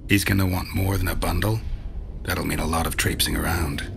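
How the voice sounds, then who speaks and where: An actor playing a gruff, wary mercenary monster hunter in a video game, terse and deadpan. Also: male voice, deep voice, fairly quick, a little hiss or hum. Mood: dry, guarded.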